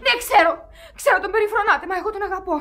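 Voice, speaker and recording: A very high, girlish voice for a naive young heroine of radio theatre. She is impassioned and headstrong in love, pouring out her words. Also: bright, forceful tone. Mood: tearful pleading. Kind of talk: Greek radio theatre acting.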